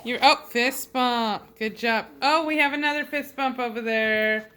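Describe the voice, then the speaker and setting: Slow-talking woman, higher voice, encouraging. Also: pushed and bright. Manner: encouraging, prompting with short questions.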